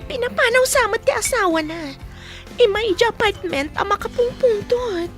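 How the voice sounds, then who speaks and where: A woman's voice: very high-pitched, expressive, normal speed, a bit bright. A woman with an Ilocano accent playing a spurned, clinging, bitter mistress in a radio drama. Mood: agitated. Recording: music bed behind the voice.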